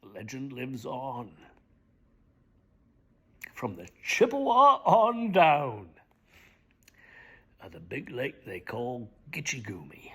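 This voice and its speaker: A middle-aged man giving a slow, theatrical reading of lyrics, often dropping to a hushed whisper. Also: medium pitch, very animated, breathy edge. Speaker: dramatic reader. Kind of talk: dramatic spoken reading of song lyrics.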